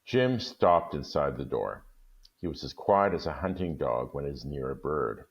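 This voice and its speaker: Deep, gravelly male storyteller. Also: normal speed, muffled recording. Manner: expressive, lively. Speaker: story reader. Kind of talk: storytelling narration.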